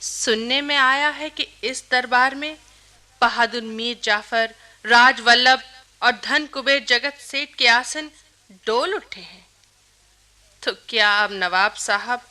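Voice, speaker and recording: Proud begum, highish clear-edged bright voice, measured, formal, courtly radio-play style. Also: female voice, lively intonation, full character voice. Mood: reproachful. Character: proud, jealous royal begum, devoted wife. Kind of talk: theatrical Hindi radio-play acting.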